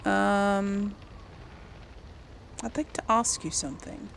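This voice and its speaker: Female let's player, casual commentary, noticeable fry in the voice. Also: medium pitch, takes it slowly, slight background noise. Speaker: gameplay streamer role-playing a character.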